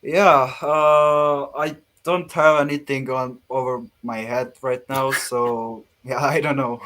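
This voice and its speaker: A man's voice: medium pitch, fairly even intonation, slow pace, fairly bright tone. A man from Bosnia with a Bosnian accent, speaking informally and hesitantly as a guest answering questions after a presentation. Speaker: guest speaker at an online ham radio expo.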